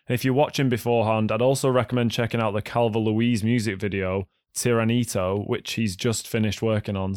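Croaky-voiced man hosting a podcast. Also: mid-range voice, no room sound, clean studio sound. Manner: enthusiastic, friendly. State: slightly croaky voice. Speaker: podcast host. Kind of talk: podcast intro and casual chat.

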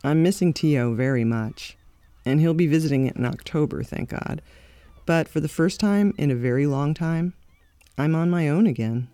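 A middle-aged woman hosts her podcast in scripted narration. Her voice is mellow and unhurried in tone, reflective and measured, and she speaks with candor. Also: deep voice, no room sound. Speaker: podcast host.

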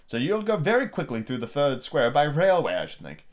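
A man voicing a brisk, bossy chess queen from a storybook, speaking in an imperious, instructing manner. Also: on the high side, wildly swooping pitch.

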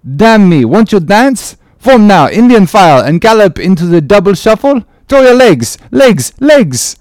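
A man's voice: sits high, very animated, a little slow, darkish tone, no room sound, clean studio sound, voice pushed up. A man doing a rousing jig-loving sailor. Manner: rousing, exclamatory. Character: lively dance-loving sailor rallying a jig.

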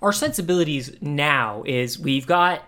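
A man's voice: fairly high pitch, expressive, a little slow, sharp tone. A man co-hosting a podcast in casual comedic chat, rambling and restarting his phrases as he goes. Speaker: podcast co-host.

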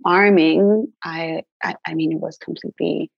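A woman's voice: low-pitched, fairly even intonation, moderate pace, gravelly, breathy edge, no room sound, dull audio. A young woman from Mexico, a native Spanish speaker, chatting casually as an interview guest with many "like" fillers and easy laughs. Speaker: guest herbalist.

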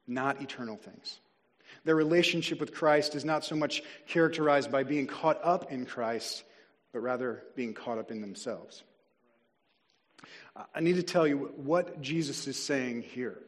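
A man preaching a sermon as a pastor, earnest and deliberate, slowing down to stress key words. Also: on the high side. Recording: long reverb of a large hall.

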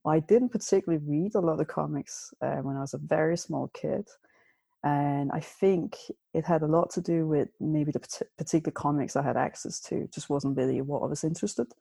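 A woman from Denmark, a comics artist, answering interview questions reflectively with ums and pauses. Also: deep voice, gravelly, dark tone, husky, no echo. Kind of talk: casual interview.

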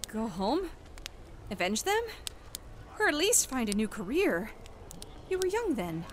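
A woman's voice: fairly high pitch, sing-song, measured pace, a little hiss or hum. An actress playing a fiery young elf woman in a fantasy audio drama, with a pointed, challenging manner. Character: fiery, defiant young elf woman burdened by unwanted power.

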